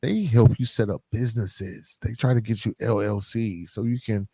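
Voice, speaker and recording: Low-voiced man hosting a podcast. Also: steady tone, moderate pace, dark tone, husky, no echo. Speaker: podcast host. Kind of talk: conversational solo podcast talk.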